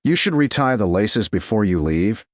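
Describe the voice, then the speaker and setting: A man playing a restrained nobleman who speaks calmly, gently and without haste. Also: lowish voice, no echo, clean studio sound, close to an everyday voice. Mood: gentle calm. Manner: calm, gentle, unhurried. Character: calm, restrained nobleman, a duke.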